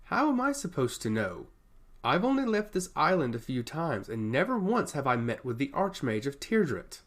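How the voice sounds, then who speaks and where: Man voicing a wry, animated fantasy prince. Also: fairly high pitch, normal speed. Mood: amused. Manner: wry, patronizing banter. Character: teasing, self-assured, supportive island prince.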